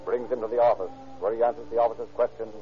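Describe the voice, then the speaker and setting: A man's voice: mid-range voice, fairly even intonation, racing. Dramatic old radio narrator guy, music under him, muffled lo-fi sound. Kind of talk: scripted 1940s radio narration.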